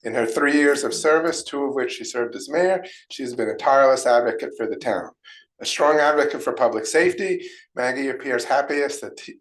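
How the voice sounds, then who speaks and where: A man at a township meeting reading a prepared tribute in a steady, formal way. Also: mid-range voice, rough recording. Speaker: committee member reading a tribute.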